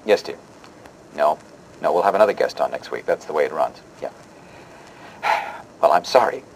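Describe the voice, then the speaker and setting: A man's voice: extremely low pitch, expressive, a little hiss or hum, poor audio. A man playing a pompous, easily flustered host in a parody of a vintage television interview show, with a mock-dramatic delivery. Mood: sheepish. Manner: mock-dramatic announcer delivery, halting and hesitant when questioning.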